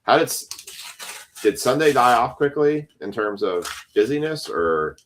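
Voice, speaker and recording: A man's voice: mid-range voice, very animated, a little slow, fairly bright tone, slight background noise. A man casually chatting on a live stream while opening trading card packs, rambling to his viewers.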